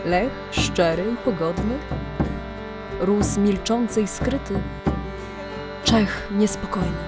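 A woman narrating a scripted audio drama legend in a measured, literary storytelling manner, with deliberate pauses. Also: medium pitch. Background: music.

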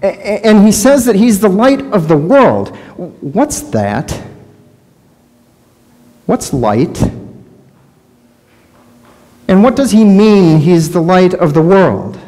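A man's voice: high voice, big pitch swings, mellow, pitched above the performer's own voice, full character voice. A man playing a lonely blind beggar. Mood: searching. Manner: questioning, halting inner monologue. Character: lonely blind adult male beggar by a road. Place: large church sanctuary, indoors. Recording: long church reverb.

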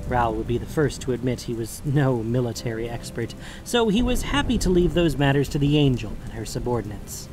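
A man's voice: medium pitch, lively intonation, fairly quick, few pauses, background noise. A man reading scripted narration for a fantasy audio drama. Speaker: narrator and podcast host.

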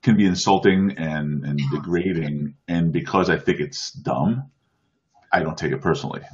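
A man's voice: extremely low pitch, moderate pace, husky. A man in casual chat on a streamed show, earnest, often restarting his sentences over a video call. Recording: dull lo-fi stream audio.

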